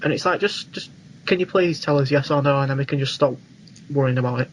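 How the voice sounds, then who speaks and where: A man's voice: medium pitch, fast, low-quality recording. A man co-hosting a podcast, giving his opinions in casual conversation. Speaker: podcast co-host. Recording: clear background noise.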